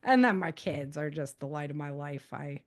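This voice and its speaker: A woman's voice: sits low, expressive, normal speed. A middle-aged American woman giving conversational interview answers in an earnest, emphatic and informal way. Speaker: podcast guest, author and self-defense teacher.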